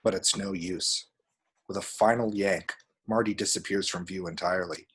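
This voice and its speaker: A man's voice: low voice, fairly even intonation, moderate pace, a bit bright, a little hiss or hum. A man reading screenplay action descriptions aloud as dramatic, vivid narration at a table read.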